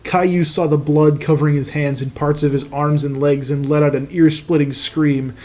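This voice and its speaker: A man's voice: a little high, fairly even intonation, normal speed, dark tone. A man reading fan fiction aloud as host, in a comic narration with profane, mocking casual asides.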